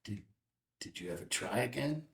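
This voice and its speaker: Hesitant, kindly dad, read by a male actor, creaky voice with very mobile pitch, halting delivery. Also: expressive. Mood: tentative. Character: hesitant, kindly father of a military pilot. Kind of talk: screenplay table read.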